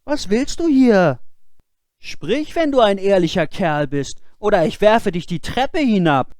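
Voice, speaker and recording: Storybook role: innocent fearless lad, high and emphatic, guileless, male reader acting. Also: very animated, measured pace, a little mellow, no echo, studio quality. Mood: challenging. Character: naive, fearless, cheerfully innocent simple-minded boy. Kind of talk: fairy-tale storybook character voice.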